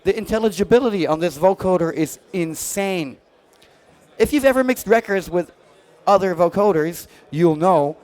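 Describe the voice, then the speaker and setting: A man's voice: sits high, a little mellow. A man giving a casual, chatty product demo in a jokey, slangy way. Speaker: product demonstrator at a music trade show.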